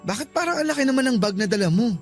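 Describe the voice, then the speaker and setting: A man's voice: very high-pitched, expressive, rapid-fire, faint music behind. A male actor playing an earnest young suitor and boyfriend in a radio love drama, speaking in a pleading manner. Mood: concerned, puzzled. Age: young adult.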